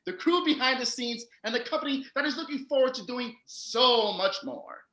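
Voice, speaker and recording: A man acts out an excitable old-world German storyteller for a comic stage show. His voice is piercingly bright and high, with an emphatic, scolding showman's delivery, heard in an echoey room. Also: moderate pace, heavily acted. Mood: upbeat, presenting. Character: Wilhelm Grimm, excitable German storyteller brother of fairy tales. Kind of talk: comedic stage patter and rhymed storytelling. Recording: roomy, echoey home recording.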